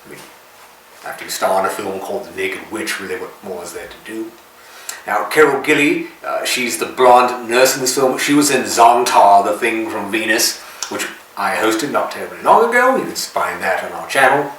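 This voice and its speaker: A male B-movie host shares casual film trivia with dry humor. His voice is lowish and forceful, heard some way from the mic in a very reverberant space. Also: very animated, normal speed. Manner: dry, tongue-in-cheek. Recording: very echoey, distant from mic, faint noise.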